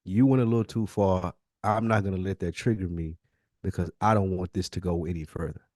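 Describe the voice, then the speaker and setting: A man's voice: low-pitched, fairly even intonation, brisk, darkish tone, dry recording, studio quality. A man co-hosting a podcast in casual conversation, talking in an earnest, slangy way and often posing rhetorical questions. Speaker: podcast co-host.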